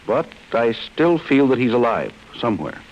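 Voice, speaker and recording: An actor with an American accent playing a cold, relentless chief insurance investigator in an old-time radio drama, speaking in a stern, deliberate way. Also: male voice, medium pitch, sing-song. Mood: grim, resolute. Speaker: actor in a radio detective serial. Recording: muffled, hissy old radio broadcast.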